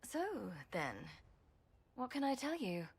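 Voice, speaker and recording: A woman's voice: high voice, sing-song, slow, breathy edge, exaggerated voice. A woman playing a seasoned, plain-spoken heroine in a video game, with a dry and understated delivery. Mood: dry, teasing. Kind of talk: video game cutscene acting.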